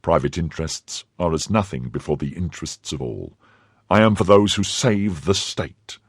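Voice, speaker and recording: A man voicing a pompous, cowardly middle-aged shopkeeper in his own low, husky voice. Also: a little fast, no echo, clean studio sound, heavily acted. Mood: smug. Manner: self-important, blustering. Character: pompous fifty-year-old mercer, cowardly and greedy.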